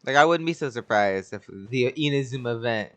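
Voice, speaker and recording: A woman with a very low voice comments casually over a game. Intonation is lively and the manner slangy and giggly, with game music playing behind. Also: a little fast. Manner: giggly, slangy, reacting in short remarks. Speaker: game streamer. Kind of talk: casual gameplay commentary and line reading.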